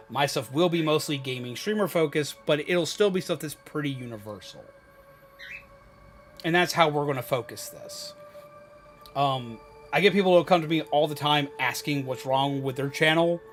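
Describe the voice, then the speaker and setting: A man's voice: a little high, fairly bright tone. A man hosting a gaming livestream, chatting casually to viewers with rambling, emphatic repetition and some swearing. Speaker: gaming streamer and host. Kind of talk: casual livestream chat, giving advice to viewers.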